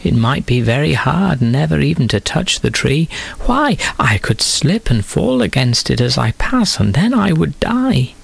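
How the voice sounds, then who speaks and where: An innocent, eager young woman, as a man voices her speaks in a raised, light tone while musing to herself. The husky voice moves slowly. It sounds like a storybook reading. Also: fairly high pitch, big pitch swings, voice pushed up, heavily acted.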